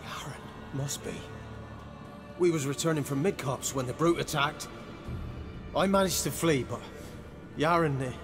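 An actor playing a humble, shaken peasant in a fantasy video game, stammering and deferential, with rustic wording. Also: male voice, a little high, normal speed, pauses often, long reverb tail, over music, close to an everyday voice. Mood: shaken, awed. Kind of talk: dramatic video game acting.

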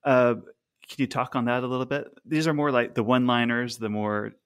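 A man's voice: mid-range voice, a little fast, a bit bright, clear, resonant tone. A man in his thirties co-hosting a podcast over a remote call, asking questions in an easygoing way and backing his partner with frequent "uh-huh"s. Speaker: podcast co-host. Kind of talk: casual interview chat. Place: small room at home. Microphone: studio mic on stand, remote call.

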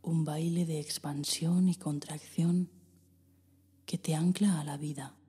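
Slow, husky-voiced woman guiding meditation. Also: low voice, steady tone, big-room sound. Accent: Spain Spanish. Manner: slow, soft, breathy delivery with whispered passages. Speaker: meditation guide. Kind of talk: guided meditation.

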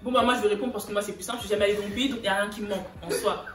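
Quick, hesitant young woman, echoey room. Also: medium pitch, fairly even intonation, far from the mic, over music. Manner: hesitant, many fillers, slangy. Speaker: youtuber co-host. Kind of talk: casual chat.

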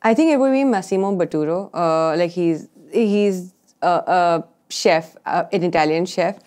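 A young woman chef and cafe owner answering interview questions in a relaxed, thoughtful way. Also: lowish voice, a little slow. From India.

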